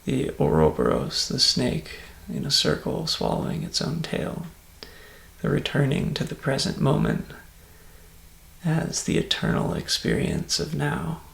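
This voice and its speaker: A man softly and calmly guiding a meditation in an ASMR style, in measured phrases that sometimes drop to near-whisper. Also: fairly high pitch, reverberant, poor audio. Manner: soft-spoken, breathy near-whisper at times, calm and soothing. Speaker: meditation guide.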